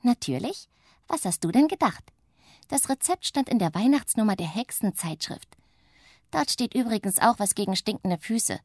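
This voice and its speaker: A woman voicing a small, whiny little witch with a high, plaintive and breathy voice. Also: expressive, normal speed, no room sound, clean studio sound. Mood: pleading. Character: small, whiny, easily hurt young female witch.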